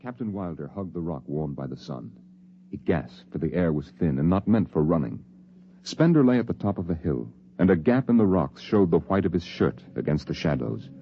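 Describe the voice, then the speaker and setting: An actor as a grave literary storyteller, narrating a 1950s radio drama in a measured, solemn manner. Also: male voice, deep voice, sing-song. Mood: tense, measured. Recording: dull vintage 1950s radio recording.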